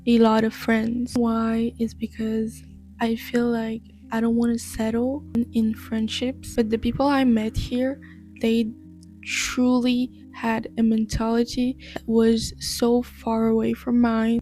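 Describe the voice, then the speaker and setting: A young woman from Madagascar, a native French speaker, talking to camera in a slow, reflective vlog. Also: a little high, monotone, dark tone, soft background music. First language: Malagasy and French. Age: young adult. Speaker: vlogger.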